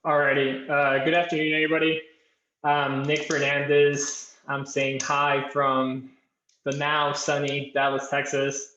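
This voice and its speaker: A young man from Colombia introduces himself in a relaxed, chatty way with a light joke or two. His voice sits a touch high and stays flat in melody. The video call sounds roomy and a bit muffled. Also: measured pace. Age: young adult. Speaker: alumnus guest, engineer. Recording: echoey, dull-sounding room.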